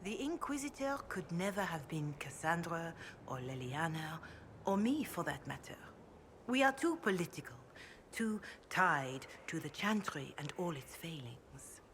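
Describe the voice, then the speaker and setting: An actress voicing a devout, grave priestess in a video game, speaking in a measured, reverent way. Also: female voice, lowish voice, fairly bright tone, slight background noise. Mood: solemn encouragement. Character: devout, grave chantry priestess, calm and counselling. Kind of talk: video game dialogue, scripture recitation.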